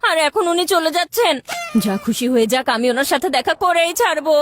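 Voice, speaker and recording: A woman voicing an excitable young cartoon boy, an eager and dramatic cricket fan with a whiny streak. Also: very high-pitched, brisk, noisy background. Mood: upset, dramatic. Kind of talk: cartoon voice acting.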